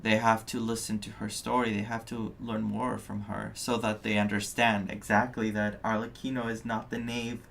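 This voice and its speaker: A man's voice: lowish voice, little pitch movement, normal speed, creaky, few pauses, fairly bright tone, a little hiss or hum. A man streaming a game live, casually musing and reacting aloud.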